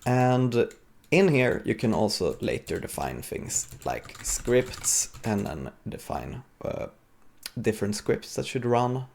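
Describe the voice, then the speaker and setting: A man giving an informal, explanatory live coding talk as a conference speaker, with a gravelly, husky voice. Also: medium pitch, sing-song, slow, darkish tone.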